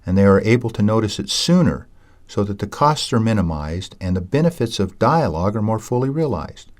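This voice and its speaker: A man reading a scripted lesson in a measured, storytelling way, teaching communication skills. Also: on the low side, sing-song, darkish tone. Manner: measured, anecdotal, self-described ham. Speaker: audiobook narrator and seminar teacher. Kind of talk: scripted audiobook narration.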